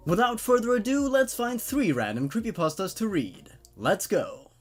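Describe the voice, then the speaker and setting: A man's voice: high voice, expressive, moderate pace, faint music behind. A man hosting and reading stories aloud in a scripted narration style.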